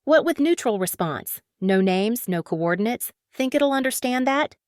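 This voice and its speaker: A woman's voice: on the high side, expressive, moderate pace, a little mellow, dry recording, natural voice. Anxious actress as female scientist, close and dry. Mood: wary, questioning. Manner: apprehensive, serious. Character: anxious, cautious female astrophysicist. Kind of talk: audio drama acting.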